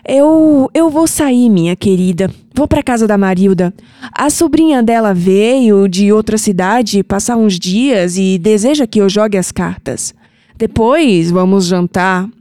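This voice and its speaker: Kindly old Brazilian grandmother played by a woman, slow and mellow, audio drama with music bed. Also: medium pitch, dry recording, natural voice. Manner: tender, reassuring, unhurried. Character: kindly old grandmother who reads cards. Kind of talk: scripted audio drama acting.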